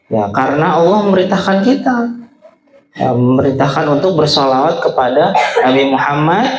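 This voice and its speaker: A man telling stories and teaching religion to children, playfully putting questions straight to his young listeners. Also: a little high. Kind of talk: interactive storytelling for children. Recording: echoey room with music behind.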